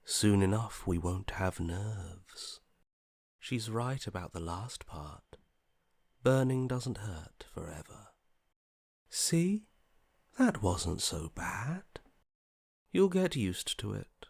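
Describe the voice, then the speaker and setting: An actor playing a detached, morbidly curious inner voice in a video game, with a languid, blasé delivery that softly trails off. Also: male voice, fairly low pitch, lively intonation, slow, creaky, pauses often, breathy edge, no echo, studio quality, full character voice. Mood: morbid curiosity, then detached, dismissive. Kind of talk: video game character voice acting.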